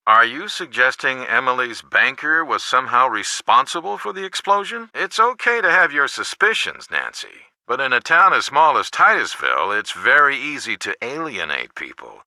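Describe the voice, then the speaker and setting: An actor playing a caring, cautious middle-aged father in a video game, with a measured, advisory manner. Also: mid-range voice, sing-song, bright, forceful tone, dry recording, heavily acted. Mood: cautioning, surprised. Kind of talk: scripted game voice acting.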